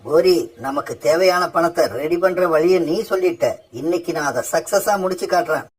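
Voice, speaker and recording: A man's voice: high voice, moderate pace, slightly rough, recorded from a distance. A man voicing a henpecked thieving father crow, eager to please, in a cartoon fairytale. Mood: resolved. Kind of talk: animated cartoon fairytale dialogue.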